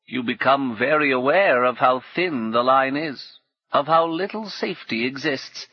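A bitter, rueful old woman, as a male narrator puts her on speaks up emphatically, her voice lifted above his own and pushed a little louder. The tone is husky, and the recording is dull and lo-fi. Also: mid-range voice, expressive, measured pace, no room sound, voice pushed up. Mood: wry warning. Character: rueful old woman fallen on hard times.

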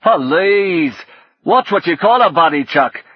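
A man's voice: a little high, lively intonation, slow, husky, no echo, muffled recording, voice pushed up, exaggerated voice. A man voicing a brash, rude young man with an exaggerated, theatrical Northern English accent in an audiobook. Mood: mocking. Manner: loud, camp, interrupting.

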